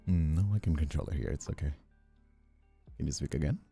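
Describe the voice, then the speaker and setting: Male streamer, extremely low gravelly voice, affectionate casual chat, faint music underneath. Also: a little fast, dark tone. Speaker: streamer and host.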